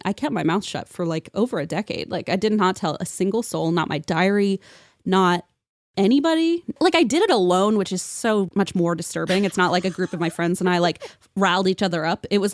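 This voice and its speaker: A woman chatting casually and telling personal stories in an animated, self-mocking, informal way on a podcast. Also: mid-range voice, no echo, studio quality. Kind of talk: casual comedic storytelling chat.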